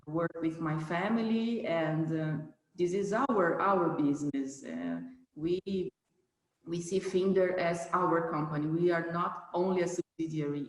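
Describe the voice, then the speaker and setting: Slow-speaking Brazilian woman in an echoey room. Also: lowish voice. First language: Portuguese. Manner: halting, searching for words in non-native English. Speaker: company manager being interviewed. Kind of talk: interview answers about a family business.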